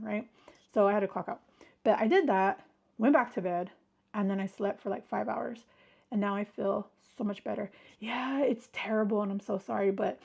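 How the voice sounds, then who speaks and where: A woman's voice: mid-range voice, vocal fry, darkish tone, lo-fi sound. A woman hosting a casual livestream, chatting with viewers in a rambling way while congested from a sinus illness. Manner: chatty, rambling, greets viewers mid-sentence. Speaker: livestream host. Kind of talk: casual chat with live viewers.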